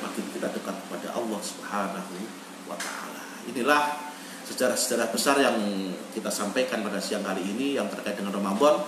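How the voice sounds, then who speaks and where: A man preaching as an Islamic teacher, speaking in an emphatic, deliberate oratorical way and repeating phrases for emphasis. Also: lowish voice. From Indonesia. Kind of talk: online sermon on history. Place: echoey room, online video meeting. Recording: echoey, noisy room, distant online-meeting audio.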